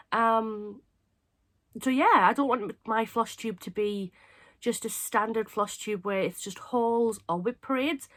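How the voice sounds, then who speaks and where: A young woman from England with a cold, sounding hoarse and stuffy, chatting casually and rambling to camera as a craft channel host. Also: fairly high pitch, a bit bright. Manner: chatty, rambling, self-mocking.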